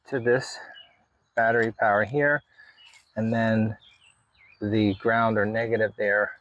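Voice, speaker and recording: A man hosting a casual hobby walkthrough, explaining things in a halting way with pauses mid-sentence. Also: mid-range voice, dull audio. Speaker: hobbyist video host. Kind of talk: casual hands-on walkthrough to camera. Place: in and around a parked vehicle.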